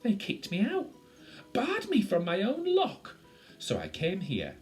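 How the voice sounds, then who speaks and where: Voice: mid-range voice, big pitch swings, unhurried, faint music behind. A storyteller voicing a small female loch creature from Scotland for a children's story, nervous, sad and proudly indignant. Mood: aggrieved. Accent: Scottish, put on.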